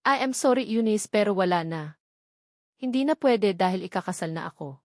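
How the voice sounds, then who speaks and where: A woman voicing an amorous, tender male lover in a romance audiobook, with a soft, coaxing manner. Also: medium pitch, lively intonation, normal speed, no room sound, clean studio sound, lightly acted. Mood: firm, regretful.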